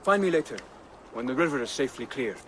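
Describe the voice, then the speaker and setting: Lively, diplomatic Viking settlement man played by an actor. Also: medium pitch, fast talker, slight background noise, natural voice. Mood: businesslike. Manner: familiar, polite, instructive. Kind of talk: video game dramatic dialogue.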